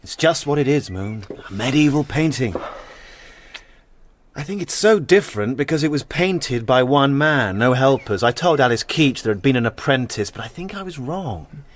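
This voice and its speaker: An actor playing a haunted young war veteran who restores church murals, in a naturalistic radio drama, hesitant in talk and eloquent about art. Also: male voice, mid-range voice, expressive, normal speed, close to an everyday voice. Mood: reserved. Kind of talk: naturalistic radio drama, dialogue and reflective narration.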